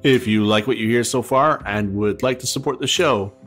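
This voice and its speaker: A man's voice: mid-range voice, moderate pace. A man reading scripted closing credits as a show announcer, speaking directly to the listener. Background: soft guitar music.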